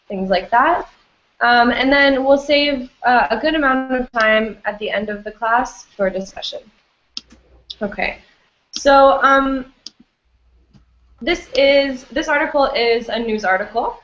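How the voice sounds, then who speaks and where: A woman runs an English lesson online, speaking in a friendly way with lots of ums and you-knows. Her voice sits a little high. The sound is roomy and dull, like a lo-fi call. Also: a bit bright. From United States. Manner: friendly, many fillers like um and you know. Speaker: English teacher. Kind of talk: online class teaching. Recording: echoey, dull lo-fi audio.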